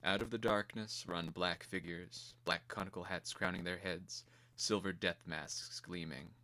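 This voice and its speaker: Deep-voiced man reading a screenplay dramatically. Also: fairly even intonation, unhurried, sharp tone. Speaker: narrator.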